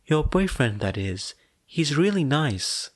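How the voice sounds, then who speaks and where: A male narrator plays a young woman, a caring older sister in an audiobook story. The voice is raised and gentle, with slow, halting, anxious delivery. The sound is dry and close. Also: on the high side, very animated, no room sound, pitched above the performer's own voice, heavily acted. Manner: hesitant, soft-spoken, halting. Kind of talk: audiobook character dialogue.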